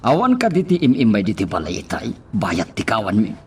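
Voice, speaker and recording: A man's voice: on the low side, huge pitch swings, fairly quick, distant mic, a little hiss or hum. A man playing a scheming, commanding boss in a radio drama, with an Ilocano accent.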